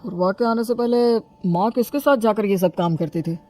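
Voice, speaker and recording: A man's voice: high voice, brisk, warm tone, close to an everyday voice. A man playing a teasing young friend who hands out advice in an audio story. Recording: music under the voice.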